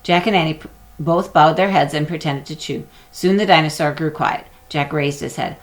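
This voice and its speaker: A woman narrating a children's chapter book aloud in an expressive storytelling manner. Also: deep voice, moderate pace. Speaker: storybook reader.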